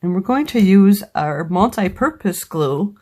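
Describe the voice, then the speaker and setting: A woman's voice: medium pitch, lively intonation, a little slow, mellow. A woman with a slightly scratchy voice from a cold, patiently explaining each step of a crafting tutorial as a craft demonstrator.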